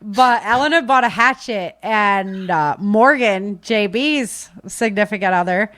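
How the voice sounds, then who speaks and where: A woman's voice: medium pitch, measured pace, fairly bright tone. Casual American woman chatting on a podcast. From Michigan, United States. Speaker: podcast host. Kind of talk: casual conversational podcast chat.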